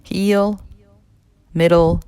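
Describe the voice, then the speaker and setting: A woman narrating a guided meditation as an instructor, in a measured, instructional way with pauses between phrases. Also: fairly low pitch, fairly even intonation, warm tone, clear, resonant tone, no echo. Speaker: neurologist leading a guided meditation.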